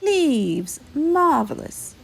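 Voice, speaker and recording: A woman's voice: sits high, very animated, very slow, creaky, pitched above the performer's own voice, exaggerated voice. A woman voicing a snuffly, self-satisfied hedgehog in a storybook reading. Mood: pleased, smug. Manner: snuffly, smug. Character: prickly snuffling hedgehog, self-satisfied, cosy leaf gatherer.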